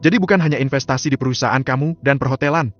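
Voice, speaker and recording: A man voicing a cold, ruthless older crime boss who talks in a flat, curt way. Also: mid-range voice, very fast, voice pushed up, close to an everyday voice. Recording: slightly muffled, soft music behind.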